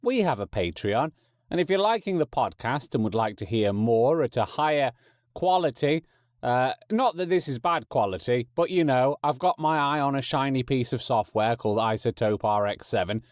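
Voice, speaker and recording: An Englishman speaks as a podcast host in a casual, chatty style. His pitch sits in the middle range for a man. The sound is dry, with very little room on it. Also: animated, moderate pace, no room sound. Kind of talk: casual chat to listeners.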